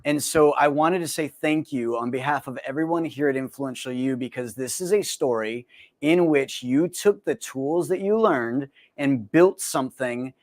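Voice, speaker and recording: A man's voice: mid-range voice, normal speed. An American man hosting a podcast, chatting in an upbeat, joking way with his guest and reading the show's closing notes. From United States. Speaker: podcast host. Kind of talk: casual interview chat, then read promo outro.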